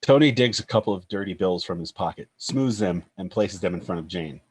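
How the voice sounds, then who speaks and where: A man narrates a screenplay, reading out scene headings and action lines. His voice is fairly low with a noticeably husky, unclear grain. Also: expressive, fairly quick, darkish tone. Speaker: narrator reading stage directions.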